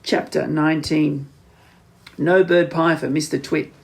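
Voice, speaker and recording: A man reading a children's story aloud as an expressive scripted narration. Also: a little high, measured pace, gravelly. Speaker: audiobook narrator.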